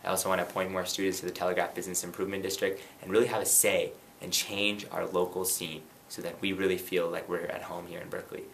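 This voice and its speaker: A young man in his twenties from Pakistan, a student candidate giving an earnest campaign pitch and then answering light interview questions. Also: flat delivery, vocal fry, a bit bright, a little hiss or hum, low-quality recording. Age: 20s. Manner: earnest, rapid and persuasive.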